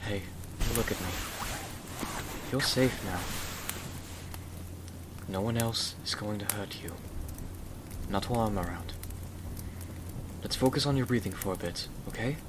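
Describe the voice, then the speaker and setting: Gentle gentleman rescuer played by a male actor, lowish voice, soothing and protective, for ASMR roleplay over noisy rain. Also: unhurried, pauses often, close to an everyday voice. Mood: calm, reassuring. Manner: soothing, courteous, old-fashioned wording. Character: Jonathan, gallant protective husband, gentle rescuer. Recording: clearly noisy, rain behind the voice.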